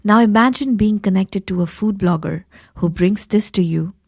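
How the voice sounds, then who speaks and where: A woman's voice: mid-range voice, normal speed, creaky, darkish tone, no echo, clean studio sound. A woman hosting a podcast with an effusive, bantering manner, moving between scripted introduction and chatty interviewing. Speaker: podcast host. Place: closet.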